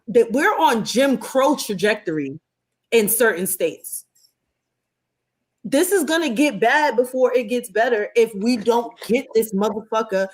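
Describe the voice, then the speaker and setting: Woman, podcast co-host, forceful indignant commentary, profane, slightly high voice.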